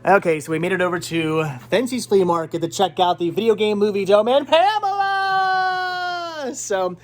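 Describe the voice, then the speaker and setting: A man's voice: high-pitched, lively intonation, moderate pace. A man hosting a casual vlog, chatting in a rambling way and repeating words for emphasis. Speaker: vlogger host. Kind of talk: casual vlog chat to camera and friends.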